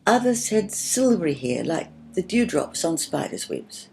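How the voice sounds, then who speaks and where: A woman's voice: lowish voice, sing-song, unhurried, creaky, mellow, a little hiss or hum, pitched above the performer's own voice, heavily acted. A woman voicing a firm, imaginative girl who tells a children's story patiently and dramatically. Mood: firm, wondering.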